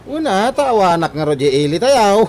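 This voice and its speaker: An actor playing a cheeky, whiny, hard-drinking grown son in a Filipino radio comedy drama, with a Filipino accent. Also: male voice, high-pitched, sing-song, moderate pace, darkish tone. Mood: teasing, whiny. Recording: noisy background.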